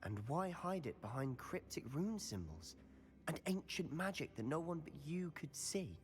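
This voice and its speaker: A curious young Slytherin wizard. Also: male voice, on the high side, sing-song, moderate pace.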